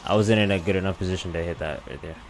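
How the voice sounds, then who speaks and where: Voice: deep voice, racing. A guest chatting casually during a game, mostly giving short replies. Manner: brief backchannel replies. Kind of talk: casual gaming chat.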